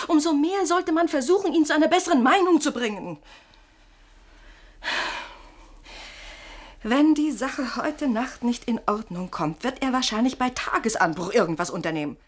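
A shrewd woman in a radio drama, her pitch moving freely up and down. She is insistent and persuasive, goading with pointed irony. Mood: urgent, persuasive. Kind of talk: German radio play acting.

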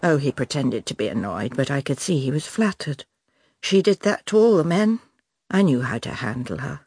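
Prim, lively-voiced English wife. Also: female voice, extremely low pitch, breathy edge, no echo, clean studio sound, exaggerated voice. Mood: smug. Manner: brisk, genteel, self-assured. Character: prim, self-satisfied, controlling middle-aged English wife. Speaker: lead actress and narrator. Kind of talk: radio drama acting with inner monologue.

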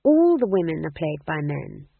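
A man's voice: on the high side, sing-song, moderate pace, creaky, slightly rough, no echo, heavily acted. A man voicing an anxious young witch in a storybook reading, hesitant, weak and placating. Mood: wretched, placating. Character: timid anxious young witch, patiently placating her elder. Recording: thin phone-like lo-fi audio.

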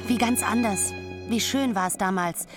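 A woman's voice: medium pitch, normal speed, natural voice. A woman playing an anxious, reproachful, nostalgic woman in a radio drama, with plaintive questioning. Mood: reproachful longing. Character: anxious, reproachful woman longing for a lost past. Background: music.

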